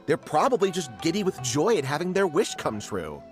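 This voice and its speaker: Chatty male writer, high and lively. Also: a little fast, faint music behind. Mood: breezy. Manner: chatty, breezy. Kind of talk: video game voice acting.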